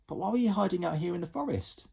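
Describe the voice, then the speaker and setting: A man voicing a cheeky, mischievous young boy, eager and excitable, who breaks into shouts. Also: high voice, fast, creaky, slightly rough, pitched above the performer's own voice, heavily acted. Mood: curious.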